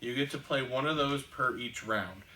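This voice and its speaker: A man walks through the rules of a board game in a casual, halting way, stumbling over restarts and filling gaps with ums. His voice is bright and sharp. He sits some distance from the mic in a roomy, echoing space with a faint hiss behind him. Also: mid-range voice. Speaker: podcast co-host teaching a board game. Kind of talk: casual explaining. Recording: echoey room, distant mic.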